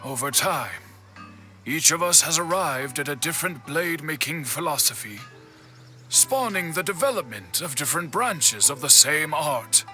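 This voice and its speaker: A man voicing a dignified, philosophical master swordsmith in a video game, speaking deliberately and with reverence. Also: on the high side, animated, pushed and bright. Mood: reflective, solemn. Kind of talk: scripted video game dialogue. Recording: faint game music behind the voice. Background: faint background music.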